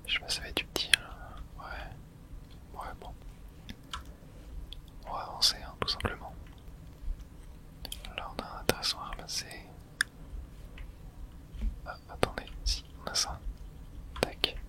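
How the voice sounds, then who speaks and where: Someone doing asmr gameplay, all whispered and breathy, chatty little remarks, close on the mic. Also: measured pace, long pauses, low-quality recording. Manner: casual, thinking aloud in short remarks. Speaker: video game streamer playing and commenting.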